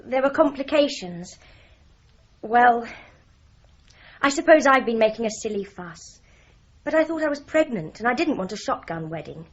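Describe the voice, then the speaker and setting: A woman's voice: on the high side, animated, moderate pace, long pauses, highs cut off. An actress playing a candid, slightly sheepish young woman in a radio drama.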